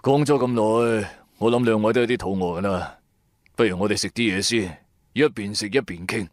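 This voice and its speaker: A man voicing a sly, courteous old crime boss in a Cantonese audio drama, smooth and unhurried. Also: on the low side, no echo, studio quality, natural voice.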